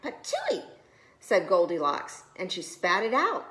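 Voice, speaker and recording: Female preschool storyteller, animated melodic delivery for children's picture books, recorded in an echoey room. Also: medium pitch, measured pace. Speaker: preschool teacher reading stories. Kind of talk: expressive children's storybook reading.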